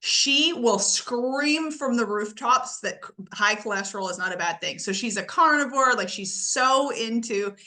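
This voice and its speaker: A woman's voice: a little high, animated, sharp tone. A woman hosting a podcast who chats casually and fires off follow-up questions to her guest. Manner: chatty, quick follow-up questions. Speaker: podcast host. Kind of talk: casual interview chat.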